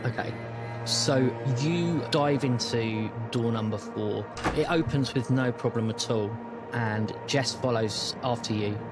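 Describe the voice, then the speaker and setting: British guy running a tabletop game, slow suspenseful narration, loud music underneath. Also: mid-range voice. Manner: measured, slowing for suspense. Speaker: game master.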